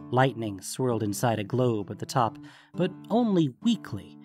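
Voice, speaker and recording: Mid-pitched man narrating fantasy over music. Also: a little mellow. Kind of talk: scripted fantasy story narration.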